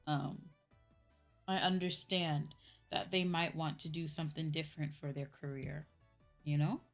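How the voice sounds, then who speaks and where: Female streamer, low register, informal and explanatory, talking to chat over faint music. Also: steady tone, measured pace, vocal fry. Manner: informal, explanatory, often repeats points and checks with "right?". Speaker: streamer, VTuber host. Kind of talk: casual livestream chat answering viewers.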